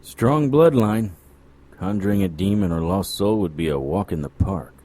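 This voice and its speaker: A man playing a jaded, sardonic occult investigator, dry and deadpan, in a noir audio drama. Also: sits low, normal speed.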